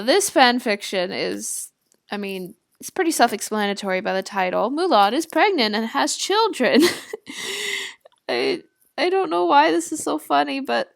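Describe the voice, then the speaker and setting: High-voiced young woman, close dry sound. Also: creaky, dry recording. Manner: giggly, informal, stumbles over the text and rereads. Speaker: video host. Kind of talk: casual reaction commentary and amateur read-aloud of fan fiction.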